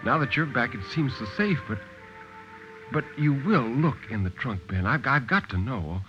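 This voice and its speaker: A man's voice: mid-range voice, expressive, moderate pace, soft background music, natural voice. A man voicing an anxious, frightened wife with a hesitant, pleading manner, in 1950s radio style. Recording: dull, lo-fi 1950s radio recording.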